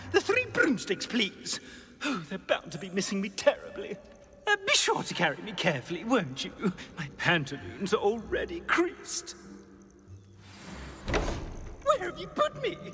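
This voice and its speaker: An actor playing a pompous, snooty talking portrait in a video game, haughty, fussy and theatrically indignant. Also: male voice, high-pitched, wildly swooping pitch, a little slow, bright, forceful tone, in a large hall, faint music behind, heavily acted. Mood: outraged, panicked. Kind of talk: video game character acting.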